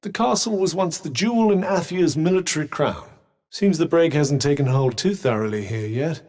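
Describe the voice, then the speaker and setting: A man's voice: on the high side, lively intonation, moderate pace, a little mellow, lightly acted. A male actor voicing a haughty, erudite magical cuff in a video game, with a British accent and a dry, sarcastic, pompous manner. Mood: erudite, explanatory. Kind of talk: video game companion dialogue.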